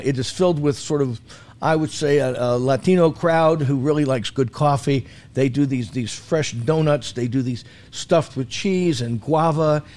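A man's voice: mid-range voice, a little mellow. A man chatting casually as a food commentator on a talk show, in a jokey, self-deprecating way, repeating himself for emphasis. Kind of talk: casual talk radio chat.

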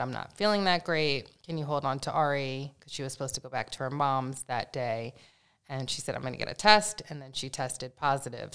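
A middle-aged woman, a podcast guest, telling stories in a chatty, animated way. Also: rumbling low, smooth. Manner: chatty, animated storytelling with laughs. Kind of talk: casual conversational storytelling.

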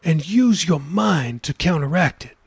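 A man voicing an older male pastor who gives kindly, measured advice in a storybook reading. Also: a little high, expressive, vocal fry, breathy edge, dry recording, clean studio sound, pitched above the performer's own voice, full character voice. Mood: counseling. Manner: gentle, measured, advising.

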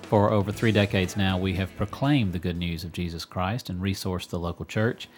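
A man's voice: low voice, steady tone, normal speed, soft background music. A man co-hosting a religious radio program, speaking earnestly and repeating phrases for emphasis. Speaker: radio co-host and preacher. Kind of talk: religious talk radio discussion.

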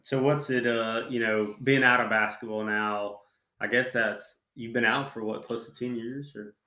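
Chatty Southwest Virginia podcast host. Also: male voice, mid-range voice, steady tone, normal speed, sharp tone, reverberant. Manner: chatty, rambling questions with fillers. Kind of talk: casual podcast interview.

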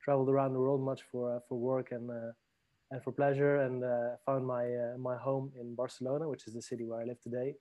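Man, from France, podcast guest, hesitant, dark tone, dry sound. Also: mid-range voice, level tone, a little fast, no echo. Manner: thoughtful, hesitant, many fillers and restarts. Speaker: guest, leadership trainer. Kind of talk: podcast interview.

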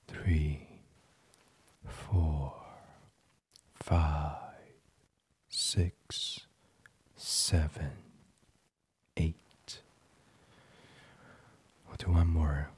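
Very slow, deep, hushed man. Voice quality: breathy, hushed. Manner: gentle, soothing, half-whispered. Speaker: guided meditation host. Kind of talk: ASMR sleep meditation.

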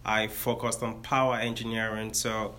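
A man's voice: on the low side, flat delivery, normal speed, noisy background. A man in his thirties with a Nigerian accent, giving a friendly career talk to students and explaining step by step.

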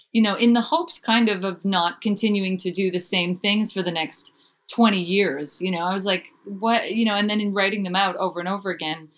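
Chatty young woman, candid and restless. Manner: chatty, many restarts and "like" fillers, lively pitch jumps. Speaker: author and musician guest. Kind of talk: candid interview conversation.

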